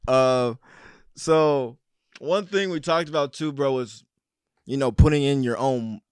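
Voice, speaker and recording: Man, interview host, mid pitch, relaxed and affirming, dry close recording. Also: a little slow, clear, resonant tone, no room sound. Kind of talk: casual sit-down interview.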